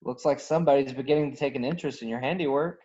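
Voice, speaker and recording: A man's voice: medium pitch, rapid-fire, mellow, lightly acted. A man playing a cocky, tough, roguish star pilot of about thirty in an online script reading. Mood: cocky bargaining. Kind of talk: script table read. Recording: dull, lo-fi online call.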